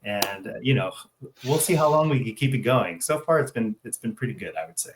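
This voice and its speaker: An American man co-hosting in casual, unscripted chat, speaking in a halting, rambling, joking way. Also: medium pitch, lively intonation, noisy background. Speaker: co-host.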